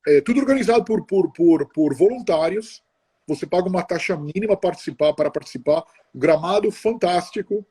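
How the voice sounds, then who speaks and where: Mellow husky middle-aged man chatting. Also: high voice, animated. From Brazil. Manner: chatty, halting, many restarts and tag questions. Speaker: interview guest. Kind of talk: casual conversational interview.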